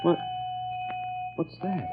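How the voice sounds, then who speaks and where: A man's voice: extremely slow, over music. An actor playing an agitated, rebellious young man who falls under a spell in a 1930s radio drama. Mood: indignant. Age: young adult. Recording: tinny 1930s radio recording.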